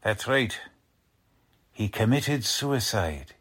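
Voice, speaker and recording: A man's voice: lowish voice, animated, very slow, a bit bright, exaggerated voice. A man playing a cocky, folksy police lieutenant with slangy, ungrammatical talk in 1940s radio drama style. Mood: businesslike, grave. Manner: slangy, ungrammatical tough-guy speech.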